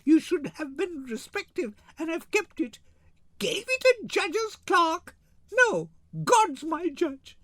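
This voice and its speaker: A man voicing a sharp-tongued, fiery young wife who scolds in quick, theatrical Shakespearean verse. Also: very high voice, very animated, no room sound, voice pushed up, heavily acted. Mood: scolding.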